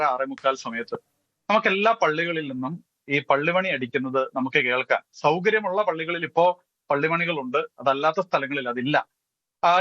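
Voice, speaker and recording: A man with a Malayali accent speaking as a panelist in a television debate, explaining haltingly with some English phrases mixed in. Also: on the high side, pushed and bright, dry recording. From Kerala, India. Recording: dull, lo-fi audio.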